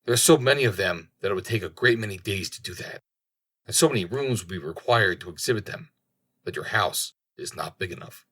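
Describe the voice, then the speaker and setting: A man voicing a loyal, persuasive servant of a king in a storybook, measured and formal. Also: sits low, animated, a bit bright, husky, full character voice.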